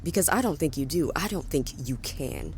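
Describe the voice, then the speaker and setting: A woman's voice: low voice, animated, normal speed, darkish tone. A woman playing a bitter, threatening space-fleet officer in an audio drama, with a sarcastic, commanding manner. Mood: bitter menace. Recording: faint background noise.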